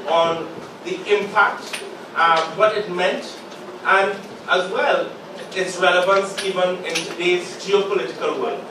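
A man in his forties with a sore throat from a cold, giving measured opening remarks as moderator of a panel discussion to a live audience. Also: fairly high pitch, background noise. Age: 40s. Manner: measured, halting, with many pauses and fillers. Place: small meeting room with a live audience. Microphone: podium mic, filmed from the back of the room. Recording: echoey room recorded from far off.

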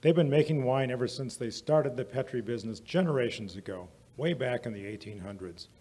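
A man's voice: medium pitch, a little fast, dark tone, slightly rough, lightly acted. A man playing an eager, folksy 1940s radio announcer with a hearty salesman's manner. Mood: hearty selling. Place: small indoor museum studio stage. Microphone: vintage-style stand microphone.

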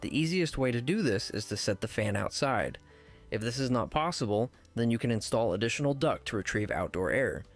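A man giving scripted voice-over narration for a technical how-to video, in a measured, precise, instructional way. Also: on the low side. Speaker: tutorial narrator.

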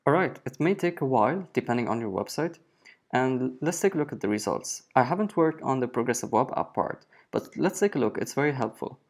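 A man in his thirties talking through a software tool in a relaxed screencast, with frequent fillers as he explains. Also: medium pitch, fairly quick. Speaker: web developer presenting a screencast. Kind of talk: casual screencast walkthrough.

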